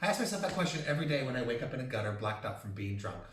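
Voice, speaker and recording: A quick-talking man with a lively, swooping delivery, campy and self-mocking as he spins a rambling story. His voice carries through a roomy space, heard from some distance off the mic. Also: mid-range voice, slight background noise. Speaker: podcast co-host. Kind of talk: casual chat.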